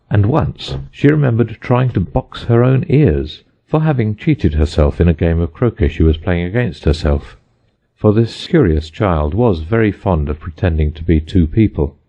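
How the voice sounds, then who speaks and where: Man for audiobook narration: low, husky voice, slightly dull recording. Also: dark tone. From United States. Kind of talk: scripted storybook narration.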